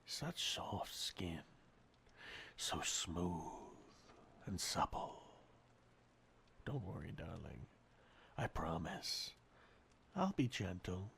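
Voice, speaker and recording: A man's voice: sits low, very animated, very slow, creaky, pauses often, a bit bright, slight background noise, exaggerated voice. A man playing a sadistic, possessive centuries-old vampire master in an intimate ASMR roleplay, murmuring and whispering breathily with menacing condescension. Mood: predatory, hushed.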